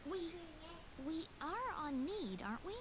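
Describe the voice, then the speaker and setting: Trusting young game heroine, high voice, lively pitch, gentle, faint music. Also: female voice, measured pace, noisy background. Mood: gentle, wondering. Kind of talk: scripted video game voice acting. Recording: quiet game audio under music.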